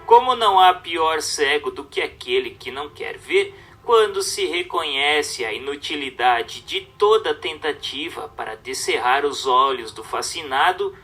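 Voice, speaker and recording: A Brazilian man narrates in a crisp, forward, bright voice that sits a little high. He reads formally and with measured, instructive phrasing, as from a religious book. Faint music plays behind him. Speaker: narrator reading a book aloud. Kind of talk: scripted narration of a religious treatise.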